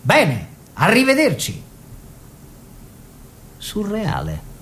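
A man's voice: high voice, huge pitch swings, extremely slow, a bit bright, slightly rough, full character voice. An actor playing a genial aristocratic painter husband in an Italian radio drama, telling stories theatrically, mimicking dialogue and drawing out his words. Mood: amused, savoring. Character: Nino, genial aristocratic bohemian painter husband, fond raconteur. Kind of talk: Italian stage-style radio drama, narrated recollection.

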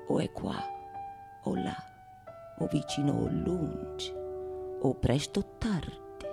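A solemn ardent philosopher, radio play monologue, really slow n declamatory, bit of music with it. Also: female voice, sits low, vocal fry, full character voice. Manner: solemn, declamatory, deliberate with long pauses. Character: grave, ardent philosopher-author declaiming a dedication. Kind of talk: theatrical monologue, radio theatre.

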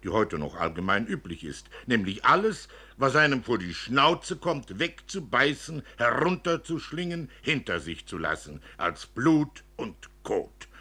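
A man's voice: mid-range voice, big pitch swings, a little slow, hardly any pauses, sharp tone, breathy edge, exaggerated voice. A man voicing a worried, bitter father who lectures his young daughter emphatically and indignantly, as in a literary story. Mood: bitter, scornful.

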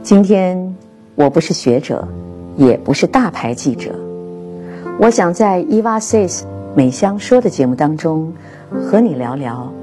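Low-voiced woman host with animated intonation. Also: measured pace. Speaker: radio programme host. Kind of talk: scripted narrated commentary with read-out quotes. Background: soft instrumental music.

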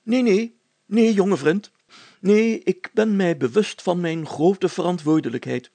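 A man's voice: sits high, a little slow, warm tone, no echo, pitched above the performer's own voice. A man voicing a pompous, solemn, overcautious village mayor in a storybook reading, speaking in formal, stately phrases. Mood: pompous, grave.

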